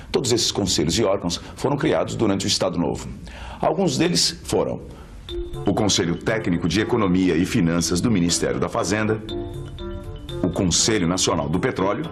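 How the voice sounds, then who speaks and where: Deep Brazilian male narrator over music. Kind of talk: educational scripted narration. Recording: music bed under the voice.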